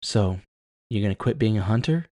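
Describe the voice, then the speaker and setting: A man's voice: on the low side, expressive, normal speed, dark tone, no room sound, studio quality, natural voice. A man voicing a fierce, blunt but caring tough woman who swears and challenges, in an audio story. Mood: probing.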